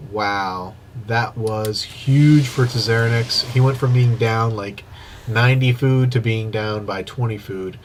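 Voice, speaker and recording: A man with a stuffy, sniffly nose giving excitable play-by-play commentary on a strategy game replay, with casual asides, on an old microphone. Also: mid-range voice, noisy background. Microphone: old microphone he wants replaced.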